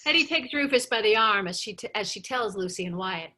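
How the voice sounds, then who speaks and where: A woman's voice: medium pitch, animated, fairly quick, pushed and bright. A woman reading screenplay stage directions as scripted narration.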